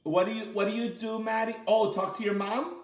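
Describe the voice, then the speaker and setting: A man's voice: high-pitched, flat delivery, fairly quick. A man leading an interactive story time for children as a coach, chatty and encouraging, explaining things simply with plenty of repetition. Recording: echoey room.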